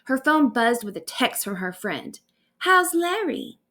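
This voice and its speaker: A woman narrating a bedtime story aloud in an expressive storytelling manner. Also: on the high side, moderate pace. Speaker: author reading own stories aloud.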